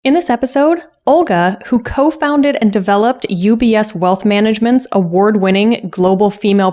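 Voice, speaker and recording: A woman hosting a podcast, reading an upbeat intro and asking questions with enthusiasm. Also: mid-range voice. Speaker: podcast host. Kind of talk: scripted intro and interview.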